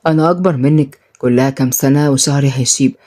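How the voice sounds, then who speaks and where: A woman voicing a gruff, protective older man who speaks low and earnestly to his young wife. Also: quick pace, mellow. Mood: tender.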